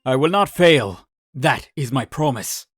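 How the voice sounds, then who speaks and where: A man's voice: mid-range voice, expressive, unhurried, husky, no echo, clean studio sound, soft background music. A man voicing an earnest, respectful and determined young fighting monk in a comic dub. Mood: resolute. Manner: respectful, resolute.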